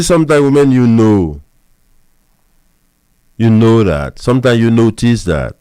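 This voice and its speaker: Male pastor, emphatic and repetitive; mid-pitched, resonant, dry mic. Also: a little mellow, clean tone, dry recording. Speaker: pastor and marriage counselor. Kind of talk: preachy advice monologue.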